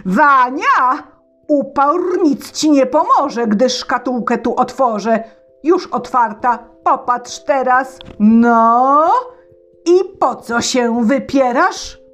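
A woman voicing a wrathful bearded old tsar, shouting and threatening in a raised voice. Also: fairly high pitch, lively intonation, takes it slowly, pitched above the performer's own voice.

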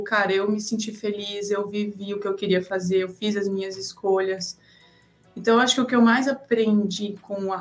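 Reflective woman guest, mid-pitched voice, earnest delivery, faint music behind. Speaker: guest, traveler. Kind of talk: reflective interview answer.

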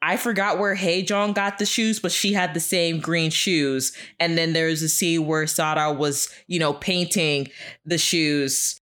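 A woman with a lowish voice gives her take on a TV show in an animated, opinionated way. She fills her talk with asides and "like"s. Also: measured pace. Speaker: podcast co-host. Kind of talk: casual chat reviewing a TV show.

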